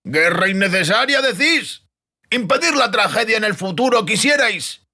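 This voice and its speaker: A man's voice: high-pitched, lively intonation, moderate pace, bright, forceful tone, pitched above the performer's own voice, exaggerated voice. A man voicing a haughty, challenging count in a fantasy audiobook, sarcastic and pointed.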